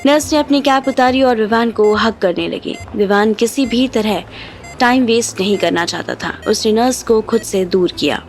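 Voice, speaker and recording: A woman's voice: on the high side, moderate pace. A woman reading scripted narration as the storyteller of an audio drama. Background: background music.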